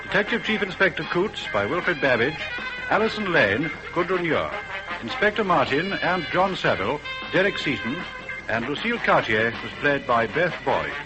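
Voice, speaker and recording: A man's voice: fairly high pitch, sing-song, normal speed, over music, poor audio. A man working as a radio announcer, reading scripted credits in an old broadcast style.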